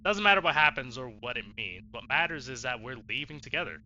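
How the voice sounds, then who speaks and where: A man doing expressive, emotional gameplay commentary as a streamer, casual and sweary. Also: medium pitch, fast talker. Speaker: let's play streamer. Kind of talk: gameplay commentary with reading aloud of dialogue choices. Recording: dull lo-fi mic, faint noise.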